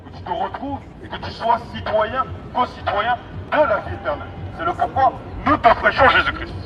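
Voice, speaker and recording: A high-voiced man delivers an emphatic street sermon through a megaphone. He exhorts in repeated phrases, and the sound is dull, with loud traffic and city noise around him. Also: lively intonation, normal speed, far from the mic, crowd noise. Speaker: street evangelist. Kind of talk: open-air megaphone sermon. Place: outdoors in an urban public street. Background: traffic and city ambience.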